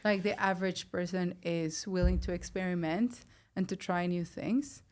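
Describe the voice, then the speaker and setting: Woman, lowish voice, casual interview chat, conversational, seeks agreement. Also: steady tone, normal speed, a little mellow. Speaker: podcast speaker.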